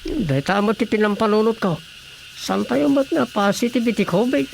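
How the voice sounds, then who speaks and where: A man with a Filipino accent playing an excitable, argumentative companion in a radio comedy drama. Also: high-pitched, normal speed, gravelly, a little hiss or hum. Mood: arguing.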